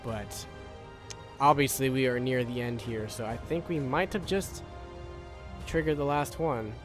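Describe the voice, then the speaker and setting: A man records casual gameplay commentary in a middle-pitched voice. He sounds tired and a little worn, rambling and repeating himself as he thinks aloud. Game music plays faintly behind him. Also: measured pace. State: tired, voice wearing out. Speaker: gaming video creator. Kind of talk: casual let's-play commentary.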